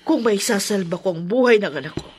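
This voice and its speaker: A woman's voice: medium pitch, animated, fast, a little mellow, slightly rough. An actress playing an anguished, fiercely protective mother in a Filipino radio horror drama, emotional and declamatory. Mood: anguished, defiant.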